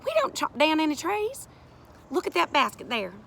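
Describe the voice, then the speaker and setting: A woman voicing a gentle, patient, folksy country woman in a storybook, reassuring and plain-spoken. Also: sits high, huge pitch swings, moderate pace, gravelly, a little hiss or hum, voice pushed up, exaggerated voice. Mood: patient explaining.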